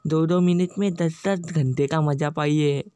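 A man's voice: fairly high pitch, fairly even intonation, a little slow, warm tone, smooth, no room sound, close to an everyday voice. A man voicing a glib male doctor in a cartoon parody, delivering bad news in a mock-serious bedside manner.

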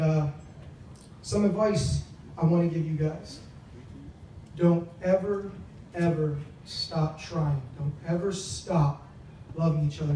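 Best man, young adult male, high-pitched voice, teasing storyteller with halting pauses, heard from a distance in a very echoey tent. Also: dark tone. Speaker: best man, brother of the groom. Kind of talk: wedding toast, humorous storytelling. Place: large wedding reception tent. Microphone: handheld microphone over speakers, filmed from a few metres away. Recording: echoey, distant, noisy event recording.

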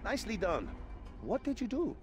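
An actor voicing a brash, plucky young adventurer in a video game, with an excitable, theatrical manner. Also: male voice, sits high, moderate pace, music in the background. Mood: confident, curious.

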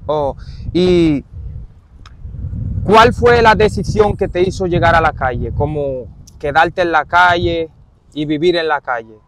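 A man conducting a casual interview outdoors, phrasing his questions in a halting, roundabout way. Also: on the high side, a little hiss or hum, poor audio. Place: outdoors in an open park.

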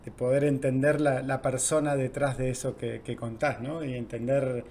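A man's voice: medium pitch, level tone, moderate pace, a little mellow, a little hiss or hum. A man in his forties with an Argentine accent, hosting a video call interview in a casual, hesitant way. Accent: Argentine Spanish. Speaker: podcast host. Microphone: video call with earbuds.

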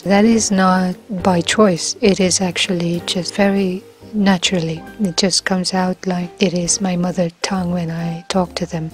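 A woman from the Philippines, talking slowly and softly about learning languages, sometimes dropping to near-whispers. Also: fairly low pitch. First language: Filipino. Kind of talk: slow reflective monologue.

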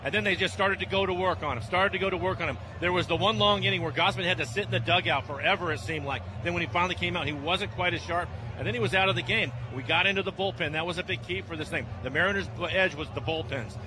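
A man's voice: high-pitched, quick pace, hardly any pauses, noisy background. A man giving emphatic postgame analysis as a radio color commentator, repeating his points for stress.

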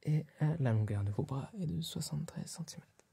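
A man's voice: on the high side, lively intonation, brisk, creaky, dark tone, husky. A young man in a whispered ASMR roleplay, speaking breathily and hesitantly as a polite, fussy tailor with a client. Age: young adult. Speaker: ASMR performer playing a tailor. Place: small room dressed as a tailor's workshop. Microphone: close ASMR microphone.

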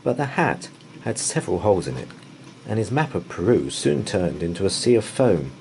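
A man reading a bedtime story aloud in an expressive, deliberate way. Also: lowish voice, vocal fry, rough recording. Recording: faint background noise.

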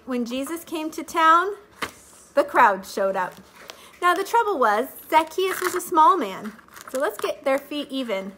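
A woman's voice: sits high, sharp tone, a little hiss or hum. A woman in her thirties, a little stuffy from allergies, giving a children's Bible lesson to camera in a sing-song teacher voice, recorded close up at home. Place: living room at home. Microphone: webcam or phone camera at close range.

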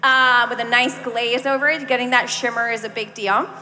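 Woman panelist, high and bright, casual convention chat, reverberant stage sound. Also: fairly even intonation, normal speed. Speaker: panelist, video game voice actor. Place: on stage in a large hall at a convention. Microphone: handheld microphone. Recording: echoey hall PA with noise.

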